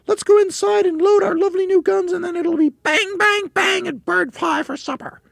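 Storybook villainess, elderly wife: screechy, extremely high, bossy and hysterical. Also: male voice, normal speed, creaky, fairly bright tone, dry recording, studio quality, full character voice. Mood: gloating. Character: shrieking, spiteful, hysterical nasty old wife.

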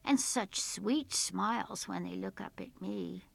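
A woman voicing a gentle, admiring male Moon with soft wonder, in a folk tale. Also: medium pitch, takes it slowly, creaky, breathy edge, no room sound, exaggerated voice. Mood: tender admiration.

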